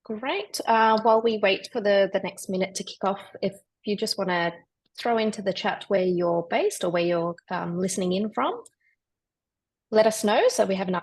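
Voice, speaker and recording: A woman's voice: mid-range voice, moderate pace, vocal fry, breathy edge. A woman hosting an online panel in a conversational way. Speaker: panel host. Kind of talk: conversational event introduction. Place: video call webinar.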